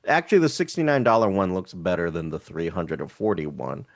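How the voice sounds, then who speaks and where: A man's voice: low voice, sing-song, fairly quick, dry recording, clean studio sound. A man co-hosting a casual podcast, chatting, joking and reading prices aloud as he browses online shops. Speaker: podcast co-host.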